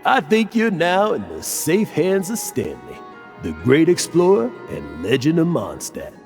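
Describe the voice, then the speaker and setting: Pompous boastful adventurer, wildly swooping pitch. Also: male voice, wildly swooping pitch, measured pace, darkish tone, big-room sound, soft background music, exaggerated voice. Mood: grandly boastful. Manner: pompous, bragging. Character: boastful, self-important legendary male adventurer, theatrical blowhard. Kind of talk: scripted anime game voice acting.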